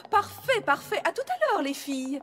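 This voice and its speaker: A woman's voice: high-pitched, big pitch swings, moderate pace, noisy background. A woman voicing a fussy, kindly adult female pony shopkeeper in a cartoon, motherly and sing-song. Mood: concerned, pleased. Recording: reverberant hall sound, music behind.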